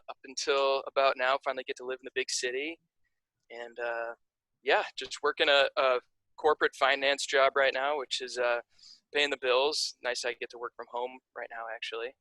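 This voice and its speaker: Young chicago guy rambling on a podcast, bright voice, dry close sound. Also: medium pitch, normal speed, no room sound, low-quality recording. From Chicago area, USA. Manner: relaxed, rambling, many fillers and restarts. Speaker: podcast guest, writer. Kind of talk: casual interview chat.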